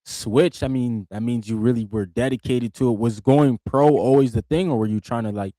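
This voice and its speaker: A man's voice: medium pitch, normal speed, dark tone, dry recording. Easygoing man hosting, dry sound. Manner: easygoing, asks questions with short affirmations. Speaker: podcast host. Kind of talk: casual interview chat.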